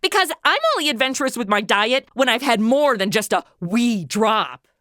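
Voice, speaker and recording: A woman's voice: on the high side, expressive, moderate pace, bright, forceful tone, dry recording, studio quality. A woman with an American accent playing a brash, sarcastic, slangy modern young sidekick in a comedy audio drama. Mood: grumbling, chatty.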